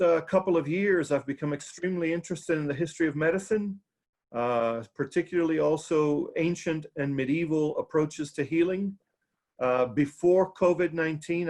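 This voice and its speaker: A middle-aged man from South Florida, a theology professor introducing himself on a video call, talking in halting bursts with many fillers and restarts. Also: fairly high pitch. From South Florida, USA. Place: home study with bookshelves. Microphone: video call webcam.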